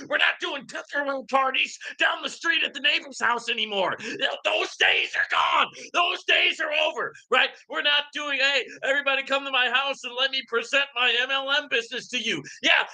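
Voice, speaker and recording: A man's voice: extremely high pitch, pushed and bright, rough recording. A man hosting a live question-and-answer stream, talking in an emphatic, preachy way and often tacking "right?" onto his points. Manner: peppers talk with "right?" and "man". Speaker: livestream host. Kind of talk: live Q and A commentary, ranting.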